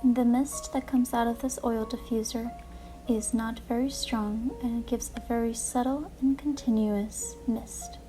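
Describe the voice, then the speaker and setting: A woman hosting a guided relaxation session, speaking in a calm, gentle, deliberate way with soothing narration. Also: a little high, rough recording. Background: music playing behind the voice.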